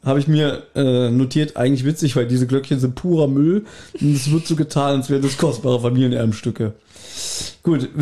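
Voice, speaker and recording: A man's voice: mid-range voice, mellow. A man hosting a podcast, giving a casual recap partly read from his notes, with playful irony. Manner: playfully ironic. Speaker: podcast host.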